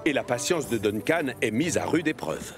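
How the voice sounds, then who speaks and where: A man's voice: mid-range voice, animated, moderate pace. A man narrating a scripted children's cartoon story. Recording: music behind the voice.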